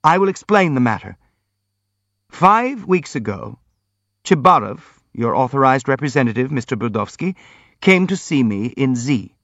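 A man's voice: mid-range voice, sing-song, fairly bright tone, no echo, muffled recording, pitched above the performer's own voice. A man voicing an earnest, polite prince in an audiobook, frank and anxious to placate. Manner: earnest, hurried, polite pleading. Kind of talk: audiobook character acting.

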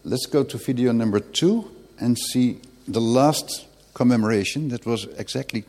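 A man hosting, presenting clips and interviewing guests in a measured way with pauses. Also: mid-range voice, darkish tone, long reverb tail. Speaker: host.

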